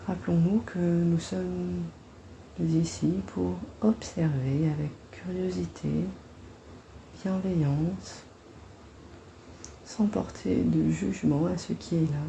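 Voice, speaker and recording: A woman guiding a body-scan meditation in a gentle, soothing, unhurried manner, leaving long pauses between phrases. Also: fairly low pitch, vocal fry, slight background noise, low-quality recording.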